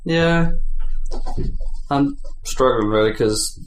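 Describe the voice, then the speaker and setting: A man's voice: medium pitch, takes it slowly. A man co-hosting a podcast, chatting casually about games in an informal, sweary way. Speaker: podcast co-host. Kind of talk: casual gaming chat. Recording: echoey room, faint background noise.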